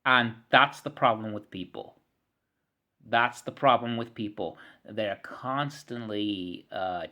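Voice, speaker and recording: A man in his thirties rants to his camera in a rambling, frustrated monologue. He repeats words, swears freely and often clears his throat. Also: medium pitch, bright, forceful tone. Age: 30s. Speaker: vlogger.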